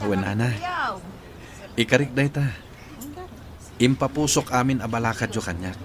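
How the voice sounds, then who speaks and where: An actor playing a devoted, earnest young adult son in a radio drama. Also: male voice, medium pitch, moderate pace, crowd noise, close to an everyday voice. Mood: earnest, tender. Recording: noisy ambient background.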